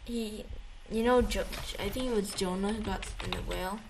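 A woman in casual conversation, speaking at a middle pitch. She rambles in a slangy, joking way as she retells things. There is faint noise on the recording. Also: normal speed, vocal fry. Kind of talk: casual chat.

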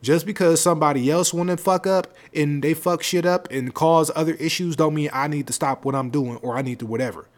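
Male podcast host, deep projected voice, casual slangy rambling delivery, close mic. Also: brisk, few pauses. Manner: loose, rambling, conversational with slang. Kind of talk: casual solo podcast talk. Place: home setup, seated on a leather seat. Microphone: close podcast microphone.

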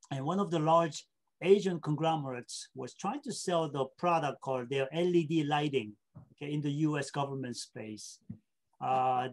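Slightly high male voice, middle-aged, conversational interview guest, explanatory, frequent check-in tags. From South Korea. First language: Korean. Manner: explanatory, often checks with "okay?" and "right?". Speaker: interview guest, state government official. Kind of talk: conversational interview answers with anecdotes.

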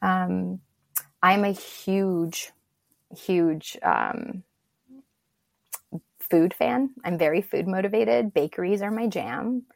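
Gravelly low-voiced woman from Turkey. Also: takes it slowly, pauses often, dry recording, studio quality. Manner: reflective and encouraging. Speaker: guest. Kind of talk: casual interview talk.

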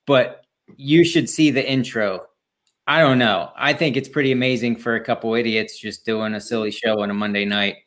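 A man's voice: mid-range voice, steady tone, normal speed, a bit bright. Podcast co-host, man with an average voice, loose and self-mocking, chatty. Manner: easygoing, rambling, self-deprecating. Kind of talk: casual chat.

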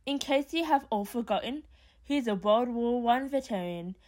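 A woman's voice: fairly high pitch, animated, moderate pace, darkish tone, lightly acted. A woman playing a blunt, argumentative but grudgingly caring character in an audio drama.

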